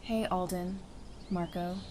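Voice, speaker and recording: A woman's voice: fairly low pitch, fairly even intonation, takes it slowly, darkish tone, slight background noise. A woman playing an easygoing, friendly and sincere survivor in an audio drama. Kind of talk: fan audio drama acting.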